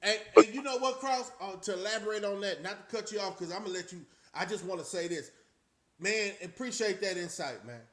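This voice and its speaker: A man's voice: high voice, expressive, brisk. A man hosting a casual live-stream chat, talking loosely and rambling with frequent restarts. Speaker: channel host.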